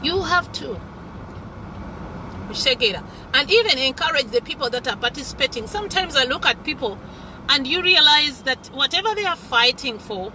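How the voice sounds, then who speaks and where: A Ugandan woman in her thirties speaks high and earnestly, stumbling over repeated words and dropping into Luganda phrases as she makes her case. She is recorded inside a car, with heavy traffic noise pressing in around her voice. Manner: passionate, repeats words when searching, mixes in Luganda phrases. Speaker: vlogger host. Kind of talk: earnest social commentary monologue. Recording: loud car and road noise.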